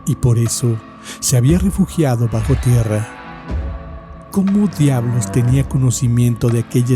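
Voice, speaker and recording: A man reading a scripted horror story in a measured, solemn way with dramatic pauses. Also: mid-range voice. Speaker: narrator. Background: music.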